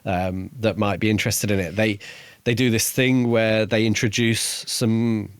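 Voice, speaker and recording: A man co-hosting a podcast, talking casually about a book in a halting way, building each thought piece by piece. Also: lowish voice, fairly even intonation, smooth, no room sound. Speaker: podcast co-host reviewing a book. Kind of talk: casual conversational book discussion.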